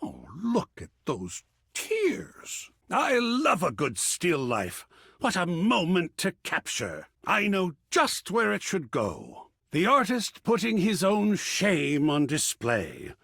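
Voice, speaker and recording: A flamboyant, art-besotted video game character, theatrical and effusive. The voice is husky and a little high for a male, and it swings dramatically up and down as he savours his words. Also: wildly swooping pitch, slow pace, a bit bright, no echo, clean studio sound, heavily acted. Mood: reverent, appraising. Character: flamboyant, gushing, art-obsessed artwork come to life, male.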